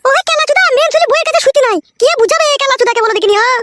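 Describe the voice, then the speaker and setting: A woman voicing a scolding, sharp-tongued young village wife in a crude comedy cartoon, curt and bossy. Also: very high-pitched, expressive, racing, heavy background noise. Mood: exasperated.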